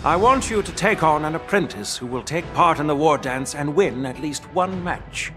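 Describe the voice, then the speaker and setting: Anime game elder general played by a man: courtly, encouraging, quietly sly, with an expressive, swinging delivery. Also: fairly high pitch, normal speed, music in the background, lightly acted. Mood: measured, persuasive.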